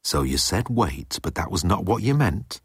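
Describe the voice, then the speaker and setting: A man's voice: low-pitched, big pitch swings, moderate pace, breathy edge, no echo, studio quality, exaggerated voice. A man voicing a pompous, menacing alien commander who speaks with pedantic deliberation in an audiobook.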